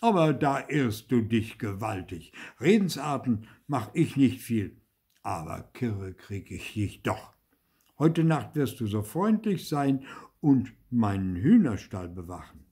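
Guy doing a gruff sarcastic farmer for a kids' story, husky, swingy pitch, mock polite. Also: big pitch swings, slow, mellow, full character voice. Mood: threatening. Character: gruff, mocking male farmer, stern and sarcastic. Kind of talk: dramatised children's storybook reading.

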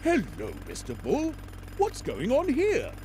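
A man's voice: very high voice, huge pitch swings, measured pace, mellow, slight background noise, heavily acted. A man playing a jolly, easygoing father pig in a children's cartoon game, hearty and reassuring. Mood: friendly.

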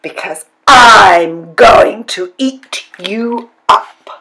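A woman voicing a big, ugly, bullying male troll in a children's story, with slow, menacing roars and growls. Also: lowish voice, low-quality recording. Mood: roaring, threatening.

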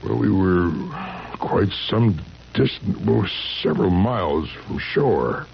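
Gravelly, weary old husband, low-voiced. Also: male voice, lively intonation, heavily acted. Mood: evasive, halting. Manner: halting, repeating himself. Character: weary, distressed seventy-year-old husband, quietly insistent. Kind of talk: 1970s radio drama acting. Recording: dull, noisy vintage radio recording.

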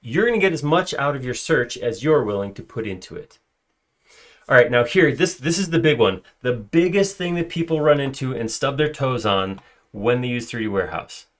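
A man's voice: medium pitch, a bit bright. Male tutor, conversational screencast delivery, mid-range voice, loose and unscripted. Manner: conversational, filler-heavy, self-correcting. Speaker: software trainer. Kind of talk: screen-recorded software tutorial.

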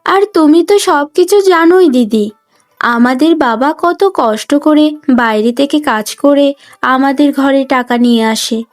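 একজন মহিলা কার্টুন গল্পে স্নেহময়ী, কর্তব্যপরায়ণ তরুণী ছোট বোনের চরিত্রে কণ্ঠ দিচ্ছেন, কথায় নরম অনুনয় আর যত্ন।. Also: female voice, sits high, normal speed, soft background music, natural voice. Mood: apologetic, pleading. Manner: gentle, pleading, caring. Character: sweet, dutiful, affectionate young younger sister. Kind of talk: Bengali cartoon story voice acting.

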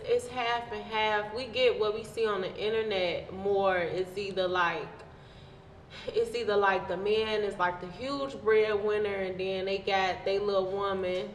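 A woman co-hosting a casual podcast discussion, arguing her opinions emphatically. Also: medium pitch. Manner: emphatic, argumentative. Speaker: podcast host. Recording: echoey room with background noise.

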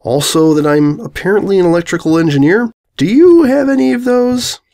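A man's voice: high voice, expressive, brisk, mellow, voice pushed up. A man voicing an awkward, apologetic stallion pony who speaks hesitantly in a comic story.

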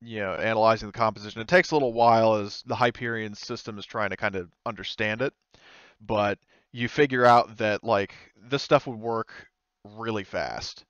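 A man improvising tabletop game narration as game master, explaining in a hesitant, halting way. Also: lowish voice, a bit bright, clean tone, no echo, dull audio.

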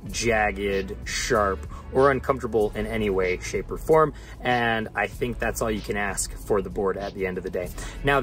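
A young man with a mid-range voice gives an earnest, emphatic gear review to camera. He is recorded indoors at desk distance, with soft music faint behind him. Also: mid-range voice. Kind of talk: product review talk to camera. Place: indoor room.

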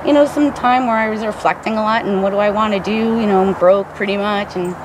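A woman's voice: medium pitch, quick pace. Chatty woman touring her forest hut. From New Jersey. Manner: chatty, rambling, many ums and likes. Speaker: bushcraft builder giving a tour. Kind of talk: casual show-and-tell. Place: outdoors in woods, inside and beside a stick hut. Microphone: camera held by another person. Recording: outdoor recording with steady background noise.

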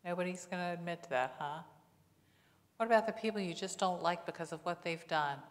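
A woman's voice: on the low side, brisk. Middle-aged woman pastor preaching. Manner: conversational preaching, frequent rhetorical questions. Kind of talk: sermon. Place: church sanctuary. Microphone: lapel mic. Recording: long church reverb.

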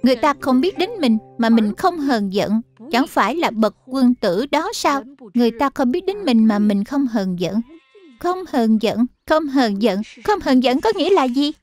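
Woman voicing a studious cartoon boy, high voice, earnest, righteous, bookish, music behind. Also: lively intonation, moderate pace, darkish tone. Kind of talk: Vietnamese cartoon dub acting.

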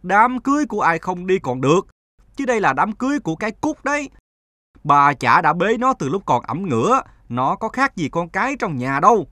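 A man's voice: sits high, sing-song, brisk, bright, forceful tone, no echo, studio quality, heavily acted. A man playing a hot-tempered middle-aged village husband who scolds emphatically in a cartoon folktale. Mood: exasperated.

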